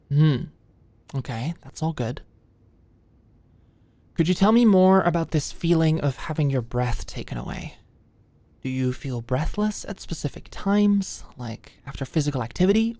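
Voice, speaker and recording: A woman playing a sweet, anxious young medical student in an ASMR roleplay, speaking gently and a little awkwardly close to the mic. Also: low voice, dry recording. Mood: nervous, professional. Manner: gentle, halting, clinical instructions slipping into shy fluster. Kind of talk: ASMR roleplay, soft close conversational delivery. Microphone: close microphone, dry sound.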